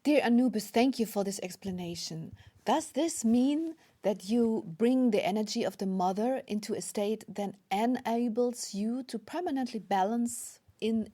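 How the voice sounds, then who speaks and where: Female podcast host, German native with German accent, dark soft tone, husky breathiness, formal spiritual delivery. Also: fairly high pitch, unhurried. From Germany or German-speaking Europe.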